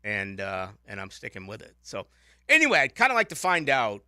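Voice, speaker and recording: Bright-voiced Boston radio host. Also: male voice, lowish voice, huge pitch swings, brisk, smooth, no echo, studio quality. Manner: rambling, self-correcting, jokey. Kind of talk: casual sports radio chat. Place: radio studio. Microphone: studio microphone.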